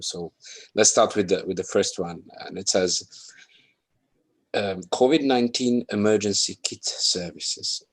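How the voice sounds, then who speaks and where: Male workshop participant, fairly deep voice, informal and halting delivery. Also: husky.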